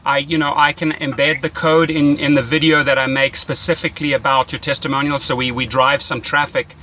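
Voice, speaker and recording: A man's voice: on the high side, level tone, a little fast, sharp tone, slight background noise, rough recording. A man giving casual, enthusiastic, salesy coaching as a rideshare mentor, often checking in with "right?". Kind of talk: casual coaching phone call.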